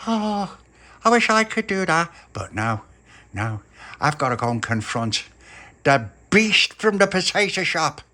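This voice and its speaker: A man's voice: a little high, very animated, unhurried, bright, forceful tone, pitched above the performer's own voice, heavily acted. A man with a Liverpool accent voicing a fiery working-class mother who rants and sighs in indignation. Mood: exasperated. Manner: indignant ranting, sighing.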